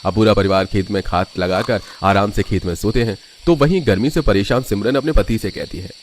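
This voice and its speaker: A man's voice: lowish voice, brisk, slight background noise. A man reading scripted narration for a cartoon family story as the storyteller.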